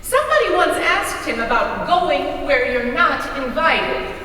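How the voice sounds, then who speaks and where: A woman reminiscing in a folksy, slightly halting way as a performer in a staged reading. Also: sits high, very animated, sharp tone, heavily acted. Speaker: readers theater performer. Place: large hall, live stage reading. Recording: echoey hall from far off, faint noise.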